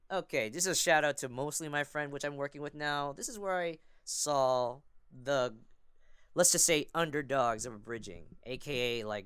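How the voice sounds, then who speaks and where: Young guy rambling on casually about fan stuff, bright voice, real dry close mic. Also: fairly high pitch, smooth, dry recording. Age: young adult. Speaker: podcast guest, amateur voice actor and fandubber. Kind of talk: casual chat.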